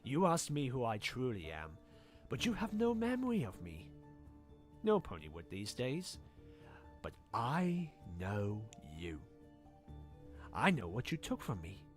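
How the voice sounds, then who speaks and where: Slow, swooping theatrical pony villain. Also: male voice, medium pitch, wildly swooping pitch, soft background music. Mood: vengeful. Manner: theatrical, savoring words, taunting. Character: scheming, gloating male villain pony impostor, theatrical and cruel. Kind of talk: animated fan drama villain acting.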